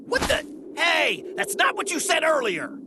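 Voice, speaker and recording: A man's voice: very high-pitched, huge pitch swings, unhurried, noisy background, full character voice. A man voicing an earnest, plain-spoken martial-arts hero in a video game, with a boyish manner. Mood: exclaiming. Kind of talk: animated video game voice acting. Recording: music behind the voice.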